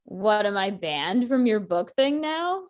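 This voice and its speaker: A woman's voice: medium pitch, very animated, takes it slowly. A woman acting a blunt, sarcastic ex-girlfriend in her thirties in a conversational comedy script reading. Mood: sarcastic, testing.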